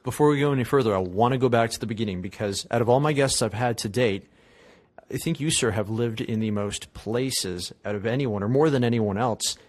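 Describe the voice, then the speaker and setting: Male podcast host, quick conversational delivery, deadened room. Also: medium pitch, darkish tone, dry recording. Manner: brisk, conversational questioning. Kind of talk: podcast interview.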